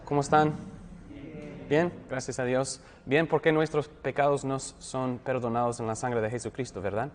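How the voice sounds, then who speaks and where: A man from Southern California with an American English accent, a pastor teaching a theology class in his second language, friendly and self-deprecating, pausing and restarting often. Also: medium pitch, steady tone. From Southern California, USA. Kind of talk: classroom lecture. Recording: echoey hall, long reverb.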